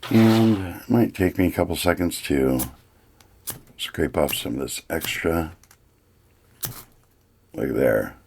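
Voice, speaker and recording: A man with a gravelly, husky voice giving a casual, plain-spoken hands-on product review. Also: extremely low pitch, a little mellow.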